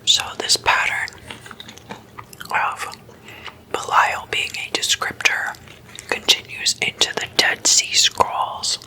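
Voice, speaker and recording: A woman speaks entirely in a crisp, bright whisper, breathy and unvoiced. She goes very slowly and deliberately as an ASMR host, leaving measured pauses between short phrases of her explainer. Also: rough recording.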